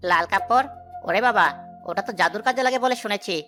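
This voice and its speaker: A woman's voice: mid-range voice, quick pace, a bit bright, faint music behind, close to an everyday voice. A woman voicing a timid village strongman who boasts of courage in a cartoon story, fretful and blustering. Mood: nervous.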